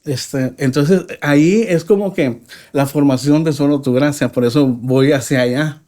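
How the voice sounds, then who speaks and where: A man from Mexico with a Mexican accent, a podcast guest telling his life story in a reflective, halting way with frequent pauses. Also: a little high, mellow. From Mexico (Nuevo Laredo). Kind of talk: personal testimony in a podcast interview.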